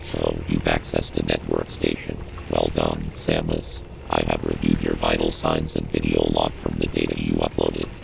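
A man voicing a calm, formal AI commanding officer in a game, with a synthetic, measured, analytical delivery. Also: exaggerated voice, rough recording. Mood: measured, detached. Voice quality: very low, gravelly, synthetic. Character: calm, formal male AI commanding officer advising a bounty hunter. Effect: synthetic computer voice processing. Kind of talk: scripted game mission briefing. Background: music.

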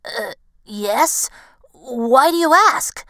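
Young male storybook fox acted by a woman: earnest, excitable, cocky, lively pitch, dry studio sound. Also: very slow, no echo, heavily acted. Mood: puzzled. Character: young male fox, eager, naive, boastfully confident.

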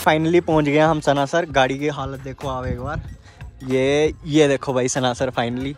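A man's voice: medium pitch, steady tone, measured pace, loud music behind the voice. A man chatting informally to viewers as a travel vlogger, calling them bro. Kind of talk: casual vlog chat to camera.

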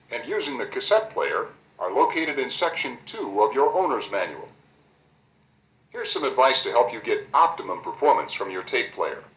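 An American man reading a scripted instructional guide, addressing the listener directly in a clear, explanatory way, on an old cassette recording. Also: mid-range voice, fairly bright tone. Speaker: instructional narrator. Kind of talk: scripted car owner's guide narration. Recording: roomy replay of 1990 cassette tape.

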